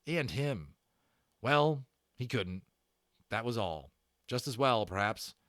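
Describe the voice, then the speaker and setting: Man giving an animated, dramatic story reading. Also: mid-range voice, no room sound, studio quality. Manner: theatrical, with stressed words and dramatic pauses. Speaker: audiobook narrator and channel host. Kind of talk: dramatic read-aloud storytelling of a children's novel.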